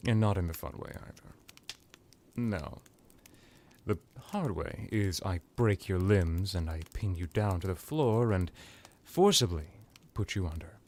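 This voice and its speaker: A man voicing a seductive, menacing vampire necromancer in an intimate ASMR hypnosis roleplay, purring deliberately and slipping into whispers. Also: low voice, lively intonation, warm tone, a little hiss or hum. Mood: coaxing menace.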